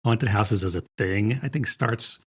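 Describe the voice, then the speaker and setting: A middle-aged American man talks books as a podcast co-host. His voice sits on the low side with a husky, rough edge. The delivery is informal and chatty, often checking in with a quick rhetorical question. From New Jersey, United States. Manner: informal, chatty, peppered with rhetorical "right?" and "I mean". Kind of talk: casual conversational book chat.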